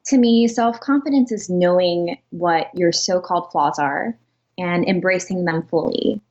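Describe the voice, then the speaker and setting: Young woman from pittsburgh, lowish voice, friendly, muffled remote line. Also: a little slow, a little mellow. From Pittsburgh, Pennsylvania. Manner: friendly, laughs lightly while talking. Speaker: guest, pianist. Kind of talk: interview answers.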